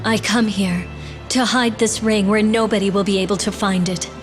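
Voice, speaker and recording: A woman's voice: mid-range voice, fairly even intonation, moderate pace, close to an everyday voice. A woman playing a resolute young exiled princess in a video game, speaking formally and earnestly. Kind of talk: dramatic video game voice acting. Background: game music.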